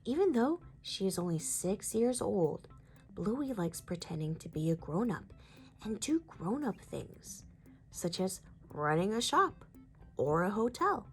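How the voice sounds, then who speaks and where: A woman's voice: mid-range voice, big pitch swings, a little slow, soft background music. A woman reading a children's storybook aloud as scripted narration.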